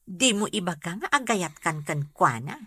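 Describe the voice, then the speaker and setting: A woman's voice: lowish voice, big pitch swings, moderate pace, fairly bright tone, slightly rough, dry recording, clean studio sound, full character voice. A woman playing a sensible, dutiful young working woman in an Ilocano radio comedy-drama, firm and matter-of-fact, with an Ilocano accent. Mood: admonishing.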